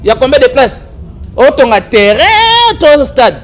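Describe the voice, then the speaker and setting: High-voiced Congolese man, animated debater. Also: moderate pace. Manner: animated, argumentative, mixes French and Lingala. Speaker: political commentator. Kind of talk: political talk show chat.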